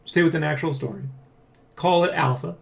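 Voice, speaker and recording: A man hosting a casual dev blog, talking in a rambling, excitable way and often checking for agreement. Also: on the high side, brisk, creaky. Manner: rambling, keeps seeking agreement with "right?" and "you know?". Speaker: dev blog host and comic artist. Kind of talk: casual dev vlog chat, addressing viewers and co-worker.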